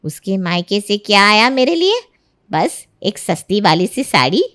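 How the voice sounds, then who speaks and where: A woman's voice: medium pitch, sing-song, a little slow, fairly bright tone. Woman putting on a jealous, sarcastic griping aunt. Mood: sulky. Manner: sarcastic, complaining. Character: jealous, sarcastic middle-aged aunt-in-law, grumbling and petty. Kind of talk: acted Hindi storybook narration.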